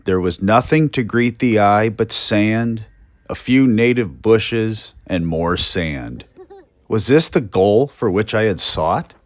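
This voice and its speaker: A man's voice: lowish voice, animated, slow pace, no echo. An American man doing a dramatic, projected reading as a forlorn, indignant young railroad telegraph employee of the 1890s. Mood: forlorn, theatrical. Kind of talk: dramatic reading of an old letter.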